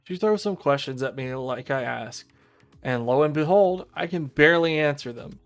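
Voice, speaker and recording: A man's voice: a little high, normal speed, faint music behind. A man reading a visual novel aloud on a livestream, narrating and stumbling over lines with casual asides.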